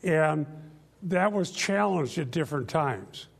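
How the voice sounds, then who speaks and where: Gravelly older man teaching scripture. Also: a little high, lively intonation. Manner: earnest, emphatic teaching with pauses. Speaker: radio show host and pastor. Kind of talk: Bible teaching and discussion.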